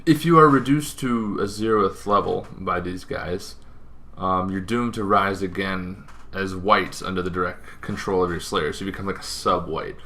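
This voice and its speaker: A man's voice: deep voice, moderate pace, bright, forceful tone. A man hosting an informal explainer, talking in a rambling way with asides and self-corrections. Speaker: host of a tabletop gaming show.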